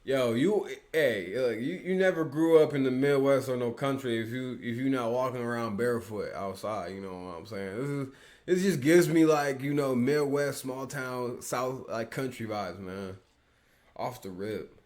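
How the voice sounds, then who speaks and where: A man's voice: medium pitch, normal speed, a little mellow. A man from the Midwest reacting to a music video in a casual review, rambling with lots of fillers and slang. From Peoria, Illinois, US.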